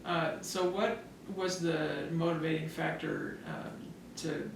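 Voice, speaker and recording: Gravelly middle-aged man, distant and echoey. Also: on the high side, slow pace, background noise. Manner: relaxed, hesitant questioning with uhs. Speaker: interviewer. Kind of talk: casual interview. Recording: distant mic in an echoey room.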